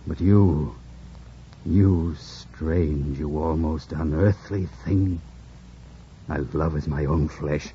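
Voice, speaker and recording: A man playing a brooding, commanding, passionate gentleman in an old-time radio drama, with theatrical, imperious delivery and a husky voice. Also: very deep voice, slow, slight background noise. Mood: tender, passionate. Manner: theatrical, imperious, swinging from hushed to forceful. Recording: dull, lo-fi old radio recording.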